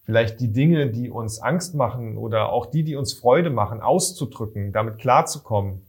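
A man, an author being interviewed, answering reflectively in an earnest, measured way with repetition for emphasis. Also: medium pitch, breathy edge. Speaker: children's book author, interviewee.

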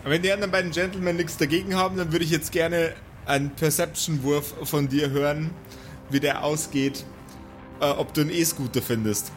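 A man with a fairly high voice runs an improvised tabletop game as its game master. He narrates in mock-formal storyteller phrases. Music plays clearly underneath him. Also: fairly even intonation, brisk. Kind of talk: live improvised tabletop role-play narration. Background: prominent background music.

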